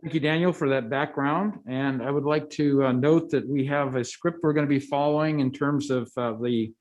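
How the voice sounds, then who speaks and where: A man chairing a county board hearing, explaining the procedure slowly with frequent fillers. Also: mid-range voice, fairly even intonation, a little mellow. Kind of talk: procedural meeting chair remarks.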